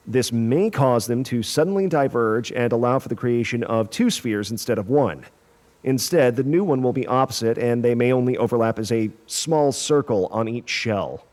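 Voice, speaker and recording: A man playing a self-assured scientist on a sci-fi crew in an audio drama, explaining in a measured, technical way. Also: medium pitch, animated, a little mellow, lightly acted.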